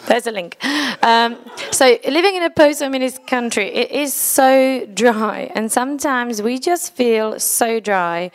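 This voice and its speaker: A woman's voice: fairly high pitch, measured pace, hardly any pauses. A woman from the Czech Republic, speaking English as a second language with a Czech accent. She addresses a church congregation earnestly, in slightly broken English, and laughs at her own slips.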